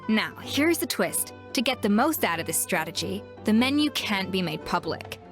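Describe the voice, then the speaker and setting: A woman's voice: medium pitch, big pitch swings, normal speed, close to an everyday voice. A woman playing a proud, exacting young celebrity chef in a video game, confident and precise in her delivery. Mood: assured, explanatory. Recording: music behind.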